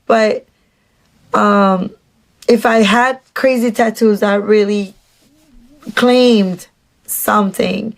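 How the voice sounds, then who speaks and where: A woman with a Mexican Spanish accent, chatty and animated, telling a dating story to her phone camera with many restarts. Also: medium pitch, very slow, creaky, pauses often, rough recording. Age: adult. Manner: slips into Spanish "dije". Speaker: dating vlogger.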